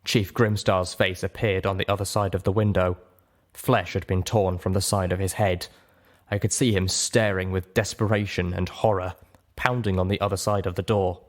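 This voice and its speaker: A man's voice: deep voice, little pitch movement, moderate pace. A man reading a story aloud as narrator, in dramatic first-person audiobook style.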